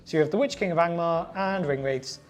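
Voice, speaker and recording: A man's voice: a little high, brisk, faint music behind. A man co-hosting a tabletop wargaming show, chatting casually and sometimes reading out rules. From Wales. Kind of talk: casual chat with some reading out of game rules.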